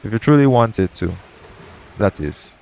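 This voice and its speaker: A man playing a shady, jaded yet tender pirate captain in an intimate audio roleplay, talking colloquially and frankly, with gentle teasing. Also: fairly low pitch, sing-song, a little slow. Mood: gentle, sincere. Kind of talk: intimate audio roleplay acting addressed to the listener. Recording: clear background noise, ambient sound bed.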